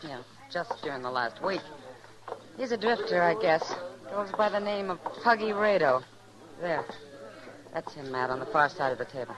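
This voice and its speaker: A woman's voice: sits low, lively intonation, moderate pace, poor audio. An American actress playing a plain-spoken, observant frontier saloon woman in an old radio western, matter-of-fact in delivery. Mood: wary. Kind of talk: 1950s radio western acting. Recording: old radio, crowd noise.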